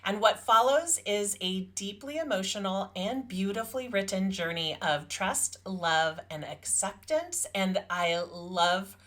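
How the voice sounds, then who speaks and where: A woman's voice: mid-range voice, animated, unhurried, hardly any pauses, fairly bright tone. A woman co-hosting a podcast, talking about books in an enthusiastic, informal way. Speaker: podcast co-host. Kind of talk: casual book review chat.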